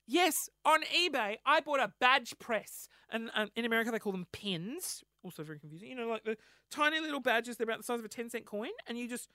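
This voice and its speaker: A man with an Australian accent, hoarse from losing his voice, telling a rambling, sweary, self-mocking story on his solo podcast. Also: very high-pitched, expressive, brisk, bright, forceful tone, no echo, studio quality. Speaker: podcast host.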